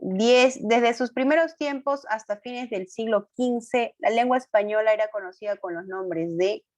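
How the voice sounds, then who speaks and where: A woman's voice: on the high side, a little slow. A woman teacher going over quiz answers with her students in an online class, coaxing them with questions, affirming with short fillers and correcting her own slips. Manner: corrects herself aloud, apologetic.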